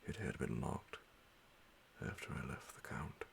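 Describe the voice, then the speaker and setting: Very deep, gravelly hushed male narrator. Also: expressive, slight background noise. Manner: hushed, half-whispered, dramatic pauses. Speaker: audiobook narrator. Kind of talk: ASMR bedtime horror story reading.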